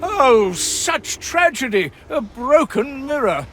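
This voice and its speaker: A man's voice: extremely high pitch, sing-song, slow pace, fairly bright tone, a little hiss or hum, full character voice. A man voicing a vain pirate quartermaster in a video game, flamboyant, haughty and melodramatic. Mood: melodramatic dismay. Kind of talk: theatrical video game voice acting.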